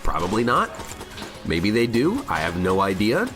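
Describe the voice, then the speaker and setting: A man's voice: fairly low pitch, huge pitch swings, moderate pace. A man with a cold and a slightly worn voice, rambling cheerfully as he streams himself playing a game. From United States. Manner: rambling, chatty, self-mocking humor. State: has a cold, voice a bit worn. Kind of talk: casual live gameplay commentary. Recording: game music loud under voice. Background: game music.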